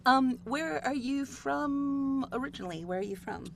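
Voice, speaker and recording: A woman host and voiceover coach running a casual interview, friendly, with quick affirmations and frequent laughs. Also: high voice, expressive, vocal fry, a loud crowd. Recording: audible background noise.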